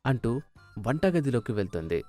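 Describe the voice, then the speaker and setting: A man's voice: medium pitch, very animated, moderate pace, darkish tone, no echo, faint music behind. A man narrating a scripted moral story as a storyteller.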